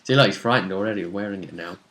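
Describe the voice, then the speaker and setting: A man's voice: lowish voice, racing, a bit bright, rough recording. A man chatting casually as game master, recapping a tabletop role-playing session and often trailing off mid-sentence.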